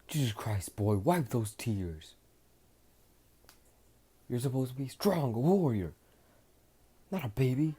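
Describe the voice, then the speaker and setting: A man voicing a proud, arrogant alien warrior king who barks gruff orders. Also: on the low side, slow, pauses often. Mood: scolding. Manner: gruff, scolding, shouting.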